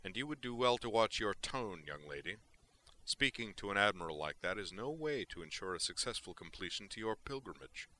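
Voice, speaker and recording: A man performs a pompous male alien admiral for an audiobook. He is callous and condescending, addressing others sternly in a bright, forceful voice. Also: fairly low pitch, lively intonation, brisk.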